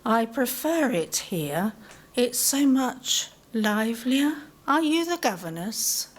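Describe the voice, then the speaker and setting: Woman playing a slow-spoken genteel aunt. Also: fairly high pitch, big pitch swings, full character voice. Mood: gentle, curious. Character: dreamy, genteel, frank spinster aunt. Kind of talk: audio play acting.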